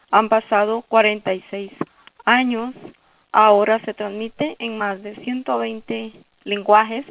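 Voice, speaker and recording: A woman's voice: medium pitch, gravelly, no room sound. A woman in her fifties with a Guatemalan accent giving a prepared testimony as a listener, on a narrow, distant recording. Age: 50s. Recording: narrow phone-like sound, distant mic.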